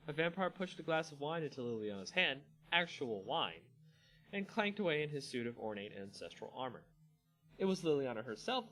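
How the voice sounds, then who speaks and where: A man hosting and narrating a dramatic fantasy story reading with an expressive, theatrical delivery. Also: mid-range voice, low-quality recording. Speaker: channel host and story narrator.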